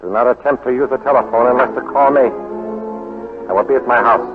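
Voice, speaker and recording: An actor playing a cold, imperious Japanese baron in a 1940s radio drama, speaking formally and gravely. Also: male voice, medium pitch, fairly quick, over music, lightly acted. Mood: coldly menacing. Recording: hollow, lo-fi 1940s radio recording with long reverb.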